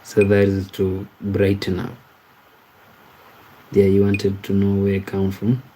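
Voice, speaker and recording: A man from Kenya hosting a casual livestream, reading viewer comments aloud and answering them in a halting way, with frequent repeats and restarts. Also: sits low, monotone, mellow, a little hiss or hum, low-quality recording. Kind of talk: casual live-stream chat.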